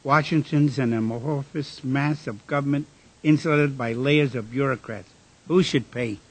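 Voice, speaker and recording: A man's voice: medium pitch, normal speed, breathy edge, lightly acted. An actor playing a bitter, disillusioned engineer in a radio drama, weary and declamatory in his delivery. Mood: bitter, indignant. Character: Francis, bitter, disillusioned male engineer worn down by fighting.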